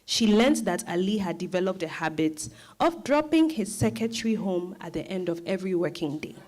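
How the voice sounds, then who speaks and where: A woman's voice: on the low side, sing-song, fairly quick, darkish tone. A woman narrating a scripted dramatized reading as storyteller.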